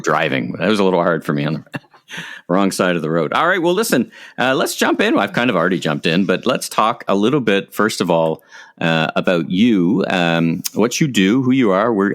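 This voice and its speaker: A man hosting a podcast interview, chatty and friendly, with frequent filler words. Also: sits low. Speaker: podcast host.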